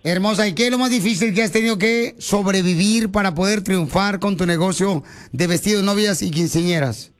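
A male radio host from Mexico runs a lively call-in interview, playful and teasing. His voice sits very high for a man. He jokes about himself and flirts with his callers. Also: brisk, few pauses. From Mexico (Ocotlán). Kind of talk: joking radio talk show interview.

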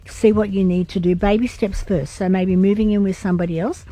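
A woman, croaky from a vocal cord procedure, giving brisk, chatty psychic readings on live radio. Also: medium pitch, fairly even intonation, warm tone.

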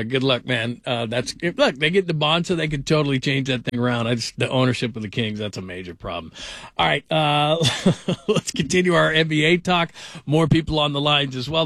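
A man's voice: mid-range voice, fairly bright tone, no echo, clean studio sound. Easygoing male sports radio host. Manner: friendly, easygoing, plugs the phone lines. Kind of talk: late-night sports talk radio. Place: radio studio.

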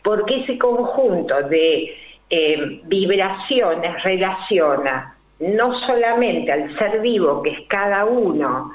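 An older woman with a Rioplatense Spanish accent, a numerology guest explaining her ideas slowly and deliberately, full of fillers and rhetorical questions. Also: mid-range voice, lively intonation. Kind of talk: explanatory interview talk. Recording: roomy, dull lo-fi recording.

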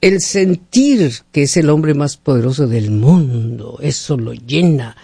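A man's voice: mid-range voice, lively intonation, slow pace, vocal fry, warm tone, breathy edge, no room sound, clean studio sound. A man hosting a radio call-in show, chatting casually in a folksy, talkative way and often asking rhetorical questions. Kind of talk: casual opinionated on-air chat with callers.

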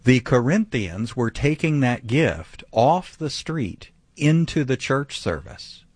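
Male radio preacher, mid pitch, emphatic, dry close mic. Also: lively intonation, slow, darkish tone, husky, no room sound. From grew up speaking some Vietnamese. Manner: emphatic, rhetorical questions. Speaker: pastor. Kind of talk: radio Bible teaching.

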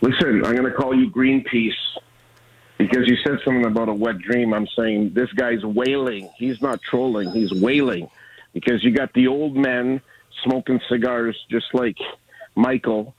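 A man's voice: medium pitch, fairly even intonation, normal speed, slightly rough. Older man on a tinny phone line. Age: 60s. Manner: rambling, talkative, restarts often. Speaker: caller. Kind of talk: casual call-in chat. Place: coffee shop at night.

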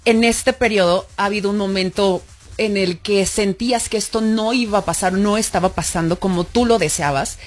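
A woman's voice: mid-range voice, moderate pace, soft background music. A woman hosting an interview, chatty and curious, asking long winding questions. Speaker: interviewer host. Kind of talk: casual interview chat.

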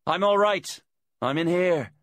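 A man's voice: high-pitched, animated, moderate pace, fairly bright tone, no room sound, voice pushed up. A man voicing a captive woman calling out, apologetic yet defiant, in an audiobook thriller. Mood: apologetic, indignant.

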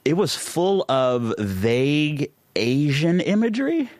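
A man's voice: fairly high pitch, sing-song, takes it slowly, darkish tone, no echo, clean studio sound. A man hosting a casual interview, speaking informally and reacting eagerly to his guest. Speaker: interviewer, host.

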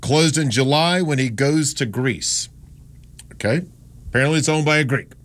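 A man's voice: on the high side, normal speed, fairly bright tone. A man hosting a talk radio show in a studio, chatting in a rambling, self-deprecating way about listener texts. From Gastonia, North Carolina. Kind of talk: casual talk radio chat. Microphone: studio microphone.

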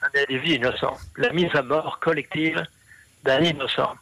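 Hesitant man with a gravelly voice. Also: fairly high pitch, steady tone, moderate pace, sharp tone, no echo, low-quality recording. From half Brazilian. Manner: hesitant, many fillers and restarts. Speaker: interview guest, scholar. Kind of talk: explanatory interview talk.

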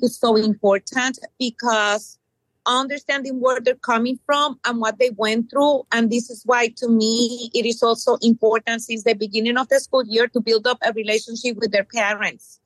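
A middle-aged woman from Puerto Rico, a bilingual middle school teacher, answering interview questions earnestly with many pauses and ums. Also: on the high side, fairly even intonation, no echo, poor audio.

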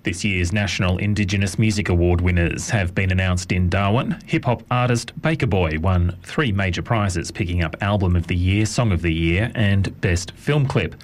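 A man reading a radio news bulletin in a measured, formal way. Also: sits low. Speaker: newsreader.

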